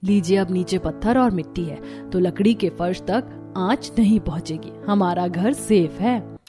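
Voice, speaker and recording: A woman playing a cheerful, resourceful young daughter-in-law in a Hindi cartoon story, speaking in a brisk, instructive way. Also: lowish voice, natural voice. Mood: confident. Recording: music behind the voice.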